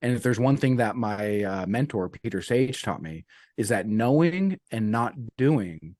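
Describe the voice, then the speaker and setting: Low-voiced earnest male coach, dry sound. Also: animated, unhurried, a little mellow, dry recording. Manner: earnest, repeats key sayings, uses "man" and "you know". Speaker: business and life coach guest. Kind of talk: casual interview talk.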